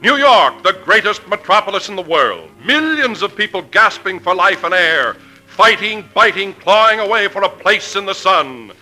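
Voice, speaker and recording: Over-the-top 1940s radio drama narrator, solemn n declamatory, bright forceful voice, big pitch swings. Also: male voice, lively intonation, normal speed, soft background music, exaggerated voice, poor audio. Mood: grand, portentous. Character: grandiose melodramatic narrator of a big-city drama. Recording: old 1940s radio broadcast.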